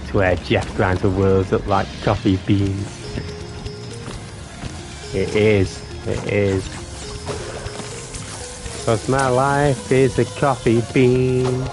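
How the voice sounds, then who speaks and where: A man's voice: steady tone, slow, long pauses, over music. A man, casually chatting with his live-stream viewers while gaming, playful and jokey. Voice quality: deep voice. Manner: playful, jokey, bursts into silly singing.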